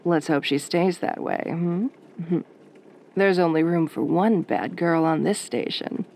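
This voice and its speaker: A woman playing a sultry, sardonic late-night radio DJ in a soft, velvety, teasing voice. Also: on the low side, unhurried, slight background noise. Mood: wry, teasing. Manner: flirtatious, teasing, wry. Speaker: radio host character.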